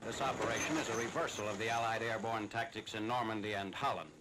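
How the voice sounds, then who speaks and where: A man's voice: lowish voice, fast talker. A man narrating a 1940s military newsreel from a script in a formal, clipped, factual manner. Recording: noisy 1940s newsreel soundtrack, engine drone.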